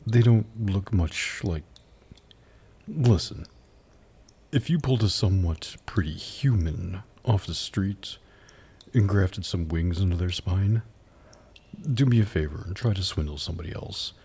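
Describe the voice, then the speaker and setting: A man playing a suave, haughty demon buyer in an ASMR roleplay, speaking in a low, husky, gravelly voice with teasing, sardonic pauses. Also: dark tone, full character voice. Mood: amused, skeptical. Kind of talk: ASMR roleplay audio drama.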